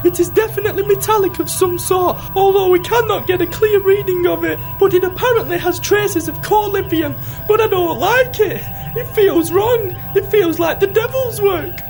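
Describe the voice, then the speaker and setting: A man's voice: very high-pitched, normal speed, few pauses, soft background music. A man playing a fussy, suspicious, grumbling starship crew officer who complains, in a comedy radio play.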